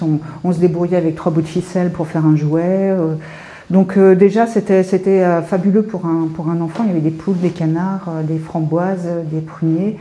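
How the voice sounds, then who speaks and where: A woman in her fifties from France, an artist telling her story in an informal interview in a hesitant, halting, reflective way. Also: lowish voice, warm tone. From France, Paris suburbs. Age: 50s. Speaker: visual artist being interviewed. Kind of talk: informal guided-tour interview.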